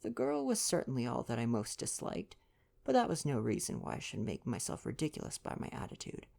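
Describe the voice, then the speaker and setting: Woman, ASMR bedtime reader, gentle, mellow, low-pitched, soft storytelling. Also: huge pitch swings, fairly quick, creaky. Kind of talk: soft bedtime audiobook reading with casual asides.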